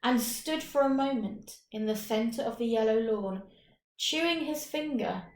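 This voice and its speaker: A woman reading a short story aloud with deliberate, dramatic phrasing and pauses. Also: a little high. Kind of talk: expressive scripted story narration. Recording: roomy, echoing room.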